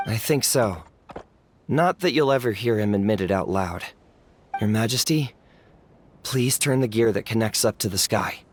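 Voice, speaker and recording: A man playing an earnest, humble, courteous subject of a monarch in an anime game, speaking sincerely and in measured phrases. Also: lowish voice, a little hiss or hum, natural voice. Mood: sincere, apologetic. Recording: music under the voice.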